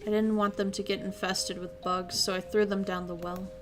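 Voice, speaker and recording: A woman voicing a cruel teenage girl who speaks with quiet, mocking menace. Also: mid-range voice, flat delivery, moderate pace, over music, natural voice. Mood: flat.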